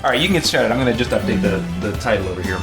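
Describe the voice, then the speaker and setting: A man hosts a casual gaming livestream in a conversational way. His voice has a middling pitch, and game music plays clearly behind him. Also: lively intonation, rapid-fire. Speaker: livestream host. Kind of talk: casual chat while streaming a video game.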